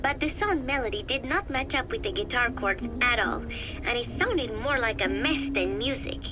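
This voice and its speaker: A woman's voice: a little high, lively intonation, normal speed, over music, close to an everyday voice, poor audio. A woman voicing an earnest, innocent teenage girl in a video game, reading her diary with careful, girlish, musing delivery. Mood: bemused. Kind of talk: in-character audio diary narration.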